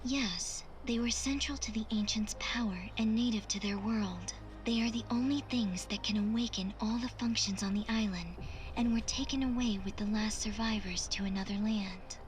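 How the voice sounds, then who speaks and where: A woman voicing a solemn, wistful female artificial intelligence in a video game, speaking in a soft, breathy, formal and measured way. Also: medium pitch, lightly acted. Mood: calm, explanatory. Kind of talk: scripted video game cutscene acting. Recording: music under the voice. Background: game music.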